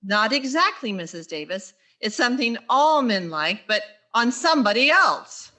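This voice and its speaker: A woman playing a wry schoolteacher with quick deadpan asides in a vintage radio sitcom style. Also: on the high side, noisy background. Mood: flustered, dry.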